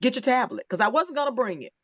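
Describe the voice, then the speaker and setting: A woman's voice: on the high side, sing-song, quick pace, no echo. Chatty woman in her fifties on a dull tablet mic. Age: 50s. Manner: chatty, laughing, restarts often. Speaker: podcast host. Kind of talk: casual interview chat.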